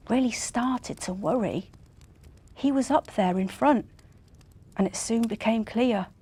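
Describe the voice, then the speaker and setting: Animated woman storyteller. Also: a little high. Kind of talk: expressive children's story reading.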